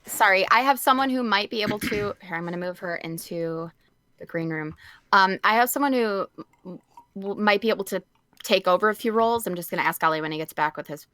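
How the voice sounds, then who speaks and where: Bright-voiced woman in casual chat. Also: mid-range voice, a little fast. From Michigan, United States. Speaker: organiser and cast member of an online play reading.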